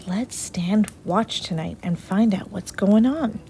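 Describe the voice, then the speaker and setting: A woman's voice: medium pitch, slow, dark tone, slight background noise, lightly acted. Woman as a brainy, earnest schoolboy camper. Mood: hushed, urgent.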